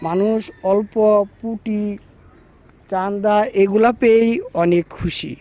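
A man narrates village folklore to viewers in a deliberate, repetitive, formal presenter's manner. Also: high voice, fairly even intonation, dark tone. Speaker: storyteller presenter.

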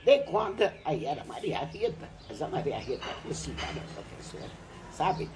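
An older woman, a retired teacher, recounting her school days in a relaxed interview on a home patio. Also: low-pitched, normal speed. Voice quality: lively, animated storytelling with laughs. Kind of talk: reminiscing interview. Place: covered outdoor patio at a home.

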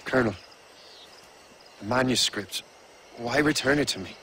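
An actor playing an earnest young fighter who speaks directly. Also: male voice, mid-range voice, moderate pace, fairly bright tone, slight background noise, close to an everyday voice. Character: young Irish-born assassin turned ally, earnest.

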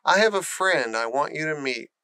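A man's voice: fairly high pitch, lively intonation, normal speed, bright, forceful tone, clear, resonant tone, no room sound. A man voicing a frank, encouraging young girl in a storybook, earnest and coaxing. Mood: inviting.

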